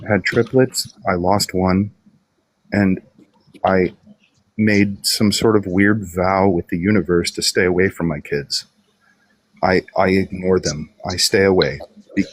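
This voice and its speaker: A man calling into a livestream, joking in a deadpan, absurd way in casual chat. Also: deep voice, breathy edge, dry recording, rough recording. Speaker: caller.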